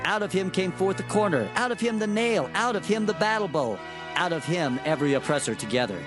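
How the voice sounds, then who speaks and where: An actor playing a solemn prophet, declaiming divine oracles in archaic language in a dramatized scripture reading. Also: male voice, high voice, expressive, brisk, over music. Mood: grave, forceful.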